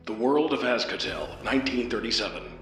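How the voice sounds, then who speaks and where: A man delivers dramatic pulp-serial narration at a middle pitch. He sounds set back from the mic in an echoey space, with clear music underneath. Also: animated, fairly quick, fairly bright tone, far from the mic.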